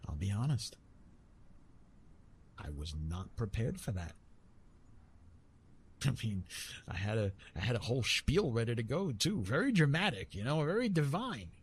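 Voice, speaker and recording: A man playing Eros, a gently amused, bashful retired god of love, in a halting, teasing, intimate ASMR roleplay monologue. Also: mid-range voice, big pitch swings, a little hiss or hum, close to an everyday voice. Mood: amused, bemused. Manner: hesitant, halting, teasing, with repeated words.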